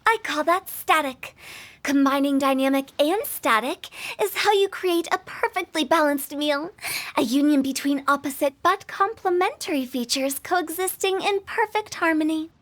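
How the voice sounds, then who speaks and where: A woman's voice: high-pitched, moderate pace, a bit bright. A woman voicing a playful, self-assured, eccentric teenage girl in a video game, jaunty and theatrical, with music behind her. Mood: assured, explaining. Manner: jaunty, theatrical, chatty, mock-philosophical. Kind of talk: video game character acting.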